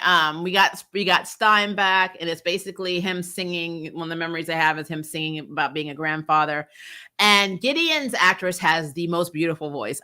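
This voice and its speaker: A woman giving a casual, opinionated recap as an online reviewer, expressive and informal in her slangy delivery. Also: lowish voice. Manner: expressive, informal slang, frequent laughing asides. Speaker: YouTube TV show reviewer, host.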